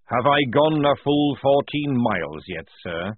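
A man plays a gruff old sergeant who barks every line in a rough, forceful roar. The voice is husky. It sounds dry and tinny, like a phone line. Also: mid-range voice, expressive, a little slow, no echo, voice pushed up. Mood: roaring, defiant. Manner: barking, forceful, rough speech. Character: gruff loud old male army sergeant.

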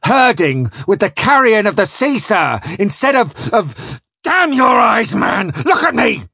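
A man's voice: mid-range voice, lively intonation, moderate pace, no echo, clean studio sound, lightly acted. A man voicing a pompous, scholarly, bad-tempered ship's captain in an audiobook story, pedantic and declamatory. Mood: raging, bawling. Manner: pedantic, declamatory, quoting Latin.

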